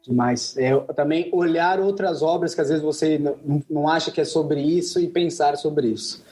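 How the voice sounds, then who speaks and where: Brazilian podcast host, a bit high, rambly and grateful, faint music. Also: male voice, moderate pace, darkish tone, echoey room. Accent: Brazilian Portuguese. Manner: grateful, rambling, restarts often. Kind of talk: casual podcast chat.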